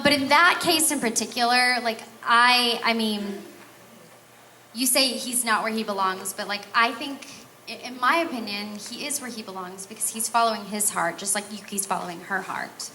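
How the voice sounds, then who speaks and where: A woman's voice: fairly high pitch, moderate pace, bright, forceful tone, a little hiss or hum. A woman voice actress answering a fan question at a convention panel, earnest and heartfelt. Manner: earnest, emotional, self-aware.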